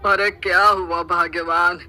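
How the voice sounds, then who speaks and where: Middle-aged cartoon husband, put on by a woman: pitched down, jovial, teasing, comforting. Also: flat delivery, measured pace, pushed and bright.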